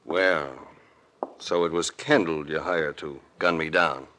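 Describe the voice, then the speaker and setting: An actor playing a shrewd, calm, world-weary investigator with an American accent and a cool, deliberate delivery in an old radio drama. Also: male voice, very deep voice, a little hiss or hum, exaggerated voice. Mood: wry. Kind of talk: 1940s-50s radio drama acting. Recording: vintage radio recording.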